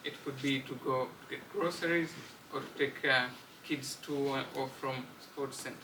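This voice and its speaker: A man from Tanzania, a student presenting a project to an audience in a steady, explaining way. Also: mid-range voice, normal speed. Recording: distant mic with clear room noise.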